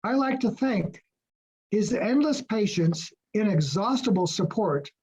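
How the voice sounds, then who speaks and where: A woman, a former graduate student, reading prepared memorial remarks in a measured way. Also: medium pitch, expressive, a little mellow, breathy edge. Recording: dull lo-fi audio.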